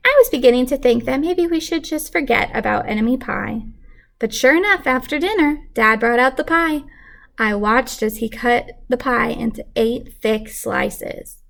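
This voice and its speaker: Higher-pitched woman reading a children's story, creaky edge. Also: moderate pace, a bit bright. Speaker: teacher reading aloud. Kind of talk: expressive children's storybook read-aloud.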